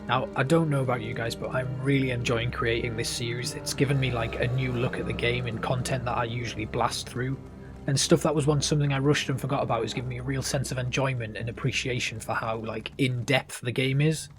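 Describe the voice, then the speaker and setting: A man's voice: mid-range voice, steady tone, fairly quick. A male gaming host with a mid-range voice narrates a walkthrough. His delivery is casual and explanatory, with faint music playing underneath. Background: game music.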